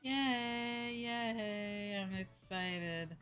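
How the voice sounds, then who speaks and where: A woman's voice: mid-range voice, extremely slow, a bit bright, smooth, faint music behind. A young woman streamer chatting casually with viewers in a hesitant, rambling way, often repeating her phrases. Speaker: VTuber streamer. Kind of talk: casual livestream chat with viewers.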